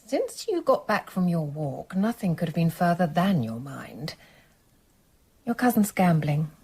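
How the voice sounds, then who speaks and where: Husky-voiced, shrewd wife. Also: female voice, fairly low pitch, sing-song, a little slow, a little mellow, full character voice. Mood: teasing concern. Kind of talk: radio drama acting.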